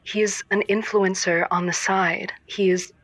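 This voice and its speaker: A woman playing an exasperated, tightly wound spy who poses as a businesswoman, calling a radio show in an audio comedy with deliberate, deadpan indignation. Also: medium pitch, dry recording, rough recording. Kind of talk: audio comedy acting as phone-in caller.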